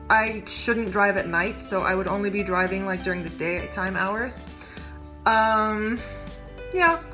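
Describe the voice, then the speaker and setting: Bright-voiced chatty woman in her twenties. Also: medium pitch. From United States. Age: 20s. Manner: chatty, upbeat, lots of fillers and asides. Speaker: vlogger. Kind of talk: casual talking-to-camera vlog.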